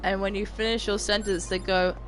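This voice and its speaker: Mumbling, monotone game streamer. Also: medium pitch, a little fast, poor audio. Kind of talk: casual chat while gaming. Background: game music.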